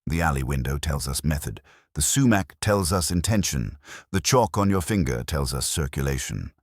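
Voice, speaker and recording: A man voicing an imperious, cerebral detective in an audiobook, speaking formally and deliberately. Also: a bass voice, animated, dry recording, clean studio sound, full character voice.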